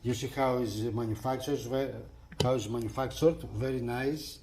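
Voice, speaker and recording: A man giving a casual, unscripted product walkthrough as a reviewer, with halting delivery and repeated phrases. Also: medium pitch, steady tone, warm tone, slight background noise.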